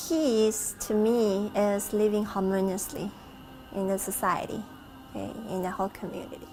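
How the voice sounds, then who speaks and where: Woman, Malaysian-born Buddhist temple resident; unhurried, reflective interview answers with small pauses. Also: mid-range voice, fairly even intonation, darkish tone, faint music behind. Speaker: Buddhist practitioner living in a temple.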